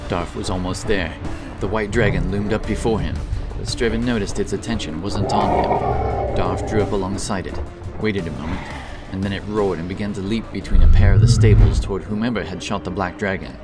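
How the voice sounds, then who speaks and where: Low pitched guy reading epic fantasy story, intense and theatrical, music clearly playing behind. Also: steady tone, a little fast. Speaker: audiobook narrator. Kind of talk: dramatic scripted fantasy narration.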